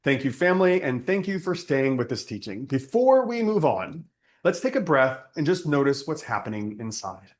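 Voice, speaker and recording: A man with a fairly high voice leads a spiritual service. His pitch moves with lively variation through solemn, deliberate phrases, in the manner of prayer and teaching.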